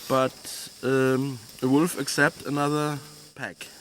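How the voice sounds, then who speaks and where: A man, a husky keeper who speaks halting, hesitant English with many pauses, outdoors in the cold. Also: medium pitch, level tone, a bit bright, poor audio. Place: outdoors in snowy cold country. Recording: faint outdoor noise.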